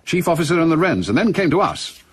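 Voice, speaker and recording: An actor playing a gruff, brusque older British spymaster in a radio drama, with a husky voice and clipped, commanding delivery. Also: male voice, a little high, big pitch swings, fast talker, dry recording, full character voice. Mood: brisk, businesslike.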